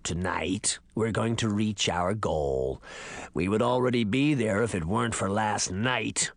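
A man voicing an ancient, menacing male conspirator with a dry, crackling, creaky voice and a coldly calm manner. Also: lowish voice, unhurried, no echo, pitched below the performer's own voice.

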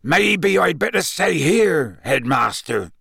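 A massive, gruff storybook giant, growling in blunt country speech. He is fiercely loyal and short-tempered, with lively swings of pitch in a dry recording. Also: slow, no room sound, exaggerated voice. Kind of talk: audiobook character acting.

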